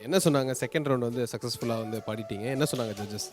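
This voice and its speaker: A man's voice: medium pitch, rapid-fire. A man with a Tamil accent hosting a talent show, interviewing a contestant in an encouraging, congratulatory way. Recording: music behind the voice.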